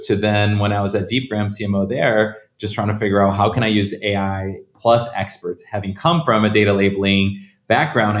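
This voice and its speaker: A man from Brazil, a guest on an interview podcast, telling his career story in a loose, conversational way and often repeating words as he restarts. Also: on the low side. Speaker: guest, startup founder. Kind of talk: casual interview answers. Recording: echoey room.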